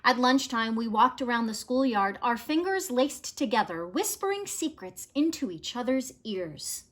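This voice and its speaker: A woman narrating a children's picture book as a read-aloud, in an expressive, measured storytelling manner. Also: high voice.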